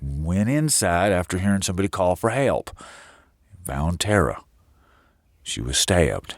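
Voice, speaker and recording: Guy acting as a low voiced man in charge, grave n terse, audio drama, really swingy intonation. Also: sing-song, measured pace, no room sound, studio quality, full character voice. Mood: grave, urgent. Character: grave man in charge, reporting bad news.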